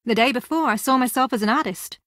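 A woman as a bright, high-voiced sassy schemer. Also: fast talker, no echo, clean studio sound, close to an everyday voice. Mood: wry, casual. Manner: sassy, boastful, dramatic. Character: scheming, theatrical, combative young woman reality-show contestant. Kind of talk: video game voice acting.

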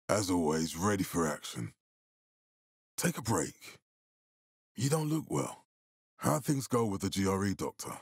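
A man voicing a grave, calculating militia commander in a video game, with a husky, authoritative and deliberate delivery. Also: on the low side, no echo, studio quality, full character voice. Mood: controlled, serious. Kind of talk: video game character dialogue.

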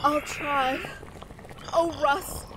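A woman's voice: high voice, fairly even intonation, very slow. A woman playing a terrified, pleading heroine in a retro radio horror drama, breathless and melodramatic. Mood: fearful, pleading. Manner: breathless, melodramatic, repeating herself. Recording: loud background noise.

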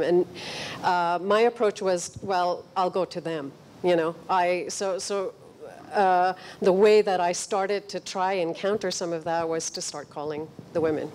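Mellow middle-aged woman on a panel. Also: fairly low pitch, slight background noise. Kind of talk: considered panel discussion talk. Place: stage of a cinema auditorium. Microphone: handheld microphone.

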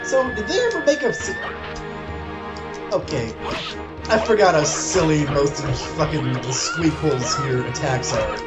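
A man's voice: a little high, expressive, measured pace. A man co-hosting casual gameplay banter, sweary, incredulous and sarcastic as he comments on a game. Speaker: gaming show co-host. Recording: game music behind the voice. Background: loud fighting game music.

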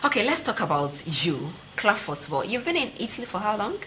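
A woman with a Ghanaian accent presents a sports interview in a bright, forward voice. She speaks as a TV host, putting questions from the studio desk, with clear noise on the recording. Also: medium pitch, very animated, a little fast.

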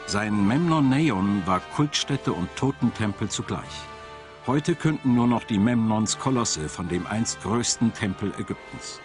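Male documentary narrator, lower register, measured storyteller's read of a script, laid over prominent music. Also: animated. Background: background music.

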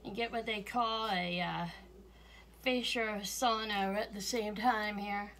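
A woman talking casually through a cooking demonstration in her home kitchen, homey and unhurried, chuckling now and then. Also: mid-range voice, steady tone, fairly bright tone. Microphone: fixed camera at counter, speaker moving around.

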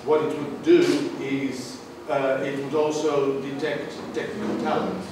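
A man at a workshop, speaking in a measured way to the group in a large conference room. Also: medium pitch, little pitch movement, background noise. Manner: measured, says he has a loud voice. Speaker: workshop participant. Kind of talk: group discussion. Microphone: far room mic. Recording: echoey hall, far from the mic.

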